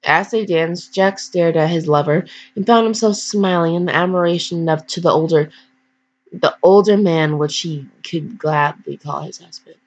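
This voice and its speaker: A woman's voice: on the low side, normal speed. A woman casually reading fan fiction aloud with slangy, blunt, digressive commentary and mock scolding. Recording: dull, lo-fi home recording.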